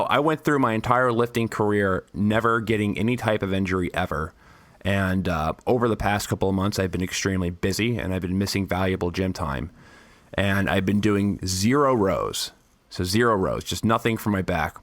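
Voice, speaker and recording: A man's voice: sits low, normal speed, a bit bright, no echo. A young man co-hosting a podcast, stuffy and losing his voice, rambling crudely and laughing a lot. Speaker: podcast co-host. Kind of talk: casual chat.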